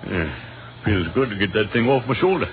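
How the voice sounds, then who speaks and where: An actor playing a polite, grateful American infantry captain with an American accent and a modest, plain-spoken manner in an old radio drama. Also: male voice, fairly low pitch, expressive, normal speed, slight background noise, natural voice. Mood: relieved, grateful. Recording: muffled, narrow-band old radio recording.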